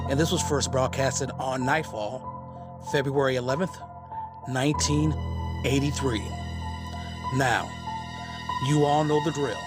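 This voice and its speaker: A middle-aged man hosting a podcast, giving a scripted introduction in measured, separated phrases. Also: mid-range voice. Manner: measured, deliberate, pausing between phrases. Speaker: podcast host. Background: prominent music.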